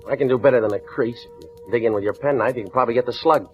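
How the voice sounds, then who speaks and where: A man's voice: mid-range voice, rapid-fire, faint music behind, lightly acted. Male actor as wisecracking streetwise suspect, cool and defiant, laconic delivery, 1940s radio drama, muffled vintage recording.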